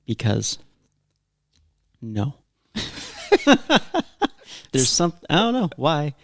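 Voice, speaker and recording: A man's voice: a little high, big pitch swings, extremely slow, no echo, clean studio sound. A man chatting casually as co-host, thinking aloud as he rambles and often restarting his sentences. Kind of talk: casual conversation.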